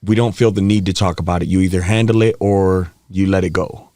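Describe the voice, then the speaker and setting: A man around thirty who grew up in Hawaii, a podcast guest telling stories from his life in a relaxed way, laughing often and getting animated as the story builds. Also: low voice, brisk, no room sound, studio quality. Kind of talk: candid storytelling interview. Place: podcast studio. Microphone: studio mic.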